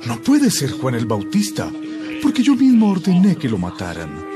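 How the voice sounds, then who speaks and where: A man's voice: mid-range voice, huge pitch swings, normal speed. Man playing troubled King Herod, wildly swooping intonation. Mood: troubled, puzzled. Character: King Herod, troubled puzzled male ruler. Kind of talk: dramatized audio Bible acting. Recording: loud background music. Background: music.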